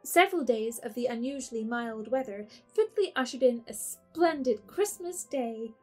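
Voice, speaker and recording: A woman narrating a classic storybook in a measured way. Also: fairly high pitch, expressive, soft background music.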